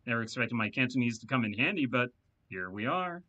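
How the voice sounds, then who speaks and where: A man's voice: mid-range voice, expressive, a little fast, bright, forceful tone, dull audio. A man voicing a rambling, talkative male hacker who boasts and amuses himself in a video game read-aloud. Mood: boastful.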